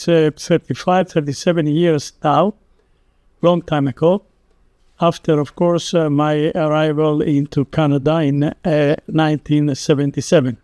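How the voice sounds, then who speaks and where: Halting older Greek-accented man. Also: on the high side, warm tone, dry recording. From Greece. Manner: halting, many filler pauses. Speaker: publisher recounting company history. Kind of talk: unscripted talk.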